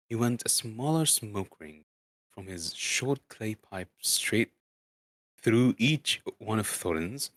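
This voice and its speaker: A man narrating a book dramatically as an amateur, halting and stumbling over words now and then. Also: lowish voice, dry recording, poor audio. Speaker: streamer reading aloud.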